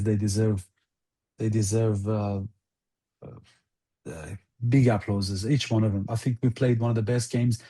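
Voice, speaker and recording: Mellow, halting man recalling his past. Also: lowish voice, husky. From outside Iran. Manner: halting, deliberate, repeats phrases for emphasis. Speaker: interview guest, former national football team interpreter. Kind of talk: earnest interview recollection.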